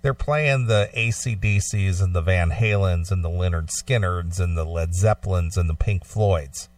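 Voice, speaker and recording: A man co-hosting a casual, opinionated radio discussion, explaining his points haltingly and often repeating phrases. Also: deep voice, recorded from a distance. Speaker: talk show co-host.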